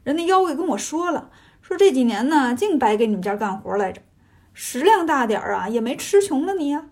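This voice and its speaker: A woman's voice: sits high, very animated, fairly quick, a little mellow, slightly rough, full character voice. A woman voicing a cocky male monkey hero in a story, brash, mocking and casual. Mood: teasing.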